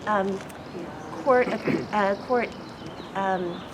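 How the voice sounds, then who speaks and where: A woman's voice: medium pitch, extremely slow, noisy background. A woman interviewing people in a conversational way. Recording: loud outdoor ambience with vehicles.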